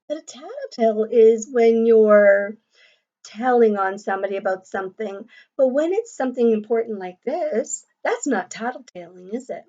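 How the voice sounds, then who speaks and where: A woman reading a children's story aloud in a deliberate way, checking in with her young listeners, on a slightly dull recording. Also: fairly high pitch, mellow. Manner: deliberate, checks in with listeners using tag questions. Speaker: storybook read-aloud narrator, educator.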